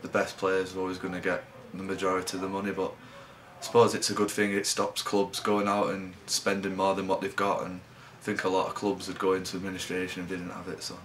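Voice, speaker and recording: Deep flat-voiced lad. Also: male voice, slight background noise. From England. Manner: low-key, halting, trails off. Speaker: rugby league player. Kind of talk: interview answers.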